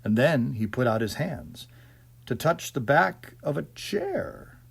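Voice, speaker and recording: Man, ghost story narrator, theatrical delivery, slightly husky grain, very animated intonation. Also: mid-range voice, slow. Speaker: audiobook narrator.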